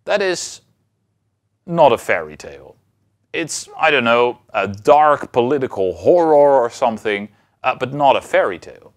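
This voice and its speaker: A man in his thirties giving an explanatory lecture as a university lecturer, phrasing deliberately and emphatically with pauses. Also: medium pitch, big pitch swings.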